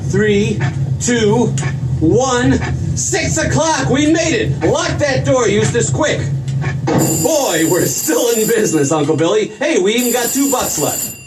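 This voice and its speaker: A man's voice: extremely high pitch, animated, slow, over music, heavily acted. An actor in a 1940s-style radio play as an earnest, flustered young small-town businessman, stammering and repeating himself as he pleads. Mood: excited. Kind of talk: 1940s radio drama acting. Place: stage production. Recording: distant stage mic, faint noise.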